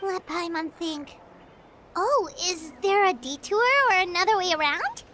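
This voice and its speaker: A woman voicing a tiny childlike fairy companion in a video game, with a squeaky, cutesy, whiny delivery. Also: measured pace, background noise. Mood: puzzled. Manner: cutesy, refers to self by name. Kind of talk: cartoonish video game voice acting.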